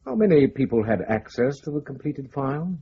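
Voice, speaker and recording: An actor playing a stern, suspicious senior British intelligence chief in a radio drama, speaking in a formal, clipped, authoritative way. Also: male voice, medium pitch, sing-song, a little fast, mellow, breathy edge, lo-fi sound.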